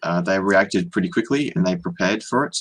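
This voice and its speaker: Young Australian male tutor, very low husky voice, laid-back and halting on a video call. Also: rough recording. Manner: relaxed, hesitant, many ums and restarts. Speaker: online English tutor. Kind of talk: casual video-call conversation.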